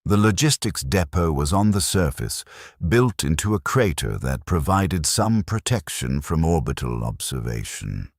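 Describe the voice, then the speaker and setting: A man narrating a scripted first-person war story in a grave, measured, formal manner. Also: rumbling low, very animated, dry recording, studio quality.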